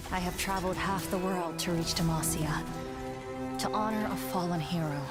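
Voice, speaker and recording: A woman playing a solemn, dutiful guardian, narrating a motion comic in a measured, grave and reverent manner. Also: lowish voice, loud music behind the voice, close to an everyday voice.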